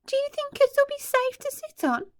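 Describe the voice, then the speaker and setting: A woman's voice: very high voice, big pitch swings, moderate pace, pitched above the performer's own voice. A woman voicing a cautious older schoolgirl in a children's storybook reading. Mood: doubtful.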